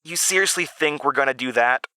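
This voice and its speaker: A man plays a nerdy thirteen-year-old boy in a horror audio drama, eager to seem cool. The voice is raised and bright, with an indignant, defiant edge. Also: mid-range voice, a little fast, smooth, dry recording, studio quality, voice pushed up.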